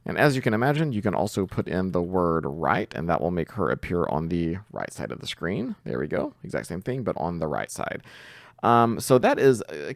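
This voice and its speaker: A man giving an informal screen-share software tutorial, thinking aloud hesitantly as he goes. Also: low-pitched, gravelly, dry recording, studio quality. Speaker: tutorial host, coding instructor.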